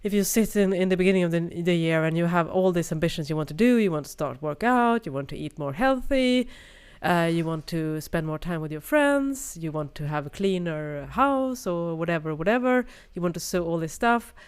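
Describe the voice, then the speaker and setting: A woman's voice: fairly low pitch, expressive, moderate pace, few pauses, smooth. A woman co-hosting a podcast in reflective casual chat, speaking with a cold. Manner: reflective, thoughtful, many "you know"s. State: has a cold. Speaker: podcast co-host.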